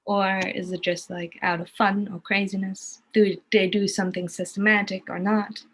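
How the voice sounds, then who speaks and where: A woman with a German accent, a native German speaker, casually explaining her work in a halting way as she thinks aloud. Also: mid-range voice, little pitch movement, fairly bright tone, breathy edge. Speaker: interviewed art educator giving museum tours.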